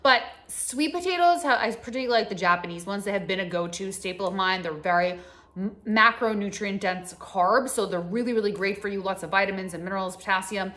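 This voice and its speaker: A woman's voice: medium pitch, a little fast, a bit bright. A woman in her thirties talking straight to camera as a health coach, casually instructing in a conversational, emphatic way in a home kitchen. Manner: conversational, emphatic, addresses the audience directly. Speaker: health coach and video host.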